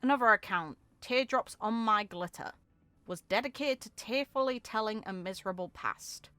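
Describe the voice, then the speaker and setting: Bright-voiced woman reading game lore aloud. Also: on the high side, moderate pace, clear, resonant tone, no room sound. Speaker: video game content creator.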